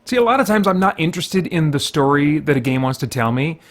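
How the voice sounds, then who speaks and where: Higher-voiced man in casual gaming commentary. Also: animated, quick pace. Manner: conversational, musing, thinking aloud. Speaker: gamer, host of a faith and geek culture show.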